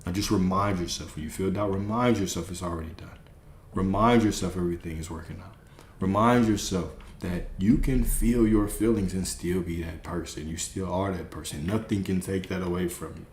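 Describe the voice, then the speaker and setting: A man's voice: low voice, moderate pace, roomy sound, slight background noise. A man hosting a live stream, giving an emphatic spiritual pep talk and repeating his key phrases.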